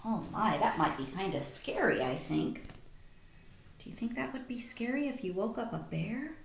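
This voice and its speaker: A woman teacher reading a picture book aloud to young children, expressive, with simple questions, in an echoey room. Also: mid-range voice, normal speed, gravelly, a little hiss or hum. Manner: animated, simple child-directed phrasing.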